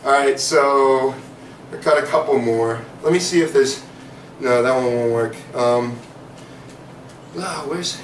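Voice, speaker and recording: A young man performing spoken word poetry in a living room, delivering rhythmic rapped verses between soft, rambling chat. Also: medium pitch, slow. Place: living room of a house, small audience on couches. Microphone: far camera, no mic. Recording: echoey room from far off, faint noise.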